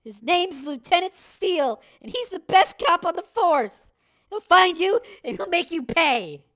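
A woman's voice: very high voice, big pitch swings, extremely slow, darkish tone, dry recording, clean studio sound, full character voice. An actress playing a frightened but defiant young captive woman in a dramatised story reading. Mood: desperate warning. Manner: toneless turning to tearful defiance.

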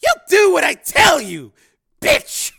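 A man's voice: high-pitched, huge pitch swings, unhurried, creaky, sharp tone, husky, pitched above the performer's own voice, heavily acted. A man voicing a vicious, derisive pimp in a dramatic story reading, with a snarling, hissing delivery. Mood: snarling rage. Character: vicious, sneering male pimp Rico, pretty and flamboyant.

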